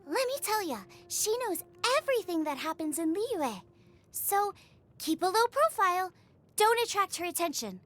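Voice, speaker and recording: A woman voicing a bubbly, eager, food-obsessed young woman chef in an expressive video game style, chatty and full of exclamations. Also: extremely high pitch, full character voice. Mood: conspiratorial, playful. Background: music.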